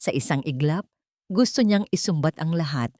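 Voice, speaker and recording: A woman's voice: deep voice, lively intonation, moderate pace, dark tone, dry recording, clean studio sound. A woman reading a scripted romance story as narrator in a dramatic storytelling style.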